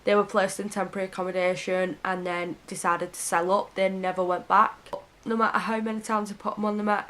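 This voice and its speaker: A woman telling a true crime story to camera in an earnest, heartfelt way. Her voice is hoarse and sniffly from a cold. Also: medium pitch, a bit bright.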